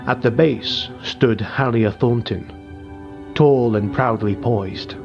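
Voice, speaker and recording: A male audiobook narrator reads dark fantasy at a steady, measured pace in a somber tone. His voice sits at a middle pitch. The audio is a bit dull and muffled, with quiet music playing underneath. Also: gravelly.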